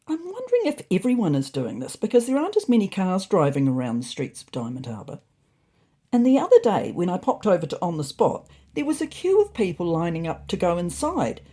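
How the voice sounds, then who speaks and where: A woman's voice: medium pitch, dark tone, breathy edge, pitched above the performer's own voice. A woman voicing a self-important, naively confident male orange cat reading out his diary in a scripted story. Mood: puzzled.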